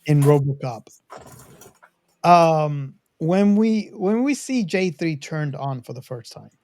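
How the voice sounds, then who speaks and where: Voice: mid-range voice, a little mellow, no echo. A podcast host talking casually about a film, with rambling asides to listeners. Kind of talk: casual movie discussion.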